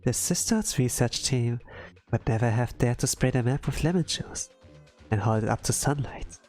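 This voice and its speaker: A streamer voicing a thoughtful, curious young female researcher who muses deliberately and is quietly determined. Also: medium pitch, lively intonation, lightly acted. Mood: quietly pleased. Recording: background music under the voice.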